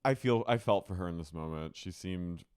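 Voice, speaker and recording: A male podcast co-host in casual chat, retelling reality-show scenes in an animated way. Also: low-pitched, moderate pace, clear, resonant tone.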